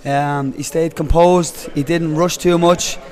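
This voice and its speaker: A man who coaches fighters, calmly answering questions at an event with frequent hesitations. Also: on the high side, takes it slowly. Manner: calm, hesitant, many fillers. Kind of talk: post-fight interview.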